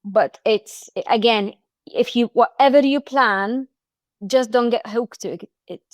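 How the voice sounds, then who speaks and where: A woman's voice: on the high side, unhurried, no room sound. A woman from Egypt with an Egyptian accent, a podcast guest speaking in casual interview chat with frequent restarts and fillers.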